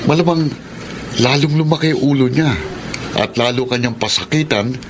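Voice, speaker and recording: A man's voice: medium pitch, moderate pace, noisy background, lightly acted. A man acting a blunt, protective friend in a Tagalog radio drama, giving frank advice in a conversational way. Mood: skeptical.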